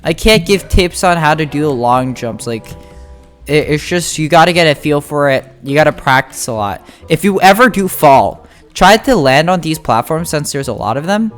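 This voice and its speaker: A game guide host talks viewers through play in a medium-pitched voice. The delivery is informal and halting, like someone thinking aloud while playing. Music runs behind the commentary. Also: animated. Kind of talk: casual gameplay tutorial commentary.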